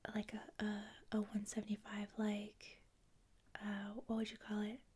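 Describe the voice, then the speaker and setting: A woman with a Canadian accent, a native French speaker, hosting a livestream in a hushed, whispery ASMR style, chatting casually with viewers. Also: medium pitch, completely flat delivery, moderate pace, a little hiss or hum. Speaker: livestream host. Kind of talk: soft-spoken ASMR, casual chat with viewers.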